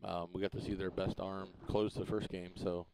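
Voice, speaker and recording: A man coaching high school baseball, giving a relaxed, matter-of-fact pregame interview in a ballfield press box, with lots of "uh" and "you know". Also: normal speed, creaky, very noisy. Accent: Missouri.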